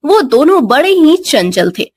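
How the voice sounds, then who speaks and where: A woman narrating a scripted story with expressive delivery. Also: high voice, a little slow.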